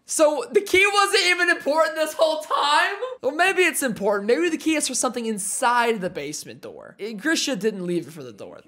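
A young man in his twenties giving casual, excitable reaction commentary on an anime episode, exclaiming, laughing and reading subtitles aloud, recorded on a headset in a small home room. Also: high voice.

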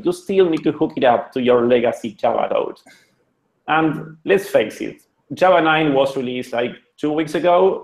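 A man's voice: medium pitch, creaky, a little mellow, reverberant, poor audio. A man with an Argentinian accent, a web designer giving a friendly, joking presentation over a video call. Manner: friendly, joking, conversational with restarts. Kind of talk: online conference presentation. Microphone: computer mic on a video call.

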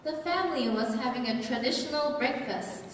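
Echoey, high-voiced young woman narrating a pantomime. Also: normal speed, a little hiss or hum. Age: young adult. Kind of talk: scripted storytelling narration for a stage pantomime. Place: large theatre auditorium, heard over PA. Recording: echoey hall from far off, music behind. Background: loud music.

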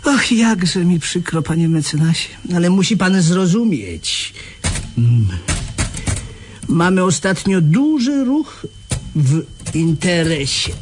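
A sardonic police lieutenant in a radio play, speaking extremely slowly with swooping intonation. He is stubborn and falsely sympathetic. Clear background noise surrounds the voice. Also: sits high, sing-song, exaggerated voice. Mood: mocking, smug. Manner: slow, mock-sympathetic, drawn-out phrases. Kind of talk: radio drama acting.

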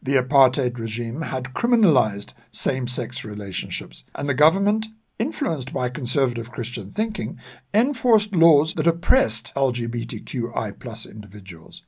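Documentary narrator: older male, measured and serious, mid-pitched with an expressive rise and fall. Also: husky. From South Africa. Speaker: narrator and podcast author. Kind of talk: scripted historical narration.

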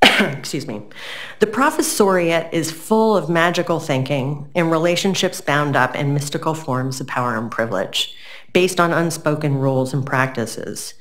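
A middle-aged woman reading a prepared lecture aloud at a university symposium, earnest and measured, a little froggy from a cold. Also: low-pitched, slight background noise. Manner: measured, earnest, with occasional "um" fillers. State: getting over a cold, slightly froggy. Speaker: keynote lecturer.

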